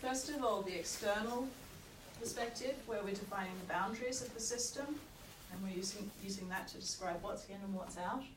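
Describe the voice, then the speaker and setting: A woman lecturer giving a university lecture with a croaky voice, explaining at a measured pace with frequent checks like "okay". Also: mid-range voice. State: croaky, recovering from lost voice. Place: lecture hall. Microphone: room recording from far off. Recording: echoey hall, distant mic, noisy.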